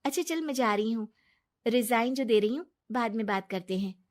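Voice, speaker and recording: A woman voicing a naive, soft-hearted young wife, earnest and pleading, in a moral story. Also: on the high side, lively intonation, normal speed, lightly acted. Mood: apologetic.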